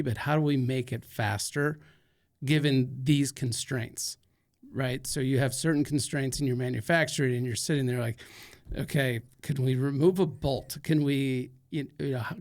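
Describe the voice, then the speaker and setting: A male podcast host with a voice of ordinary middle pitch. He interviews in a loose, conversational way, joking at his own expense and working through long comparisons to make a point. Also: a little mellow. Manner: chatty, self-mocking, uses long analogies.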